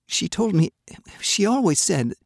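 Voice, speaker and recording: Man reading as an earnest, touchy apprentice boy; raised pitch. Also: big pitch swings, gravelly, dry recording, clean studio sound, heavily acted. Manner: earnest, quick-tempered. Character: proud, poor young apprentice boy.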